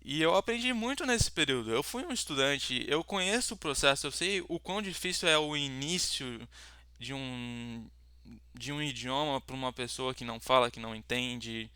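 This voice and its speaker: A man's voice: medium pitch, animated, moderate pace, bright, forceful tone, smooth, dry recording. A young Brazilian man with a Brazilian Portuguese accent, talking casually about teaching languages, rambling with many fillers and restarts. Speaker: language tutor. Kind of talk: casual self-introduction to camera.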